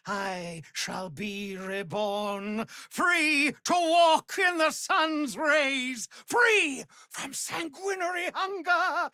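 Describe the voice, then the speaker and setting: An actor playing a cruel, pompous immortal vampire lord in a fantasy video game, menacing and theatrical, moving between hushed threats and grand declarations. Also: male voice, very high-pitched, no room sound, clean studio sound, full character voice. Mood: grandiose fury.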